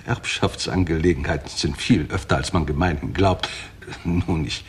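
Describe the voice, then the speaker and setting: Actor as a deep-voiced, formal lawyer. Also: male voice, a little hiss or hum, close to an everyday voice. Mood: formal, measured. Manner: formal, measured, precise legal phrasing. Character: formal, discreet English family lawyer. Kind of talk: 1960s German radio crime drama acting.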